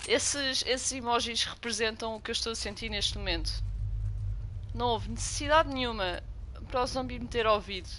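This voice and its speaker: A woman's voice: fairly high pitch, normal speed, fairly bright tone, a little hiss or hum. A young woman from Portugal with a strong European Portuguese accent, streaming a game and chatting with viewers. She gets jumpy and swears when startled. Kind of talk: casual gameplay commentary.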